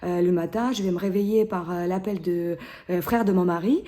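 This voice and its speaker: A woman in her thirties with a Ukrainian accent, answering interview questions haltingly, with many fillers and restarts. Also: lowish voice, steady tone, warm tone.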